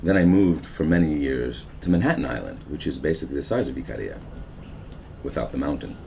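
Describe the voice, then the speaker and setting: A man's voice: extremely low pitch, expressive, fairly quick, gravelly, mellow, slight background noise. A middle-aged man with a New York accent, reflecting at length in a documentary interview, musing and meandering with fillers and restarts. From United States. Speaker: documentary subject. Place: houseboat on a river.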